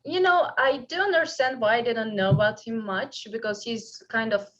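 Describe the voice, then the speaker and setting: A woman from Uzbekistan with an Uzbek accent, a native Uzbek speaker talking in English as a guest chess player in an online class, halting with many fillers and cheerful laughs. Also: a little high, fairly bright tone, highs cut off.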